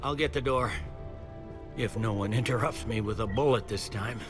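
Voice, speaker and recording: An actor playing a gruff, weary, sardonic police commander in a video game, blunt and wry. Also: male voice, medium pitch, normal speed, music in the background, close to an everyday voice. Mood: gruff, urgent.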